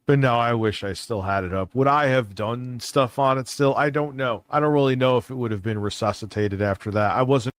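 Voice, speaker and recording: A man's voice: medium pitch, fairly even intonation, a little fast, few pauses, dry recording. A man talking casually off the cuff as an online creator, blunt, candid and prone to swearing. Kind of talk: casual unscripted monologue.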